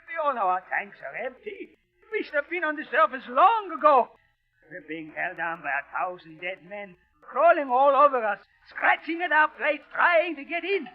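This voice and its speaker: Panicky mutinous submariner, super high voice all over the place, old muffled radio drama. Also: male voice, very animated, moderate pace, soft background music. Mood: panicked dread. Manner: frantic, insistent, repetitive. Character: hysterical, doom-crying mutinous submarine crewman. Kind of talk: 1940s radio acting. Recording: old dull radio recording.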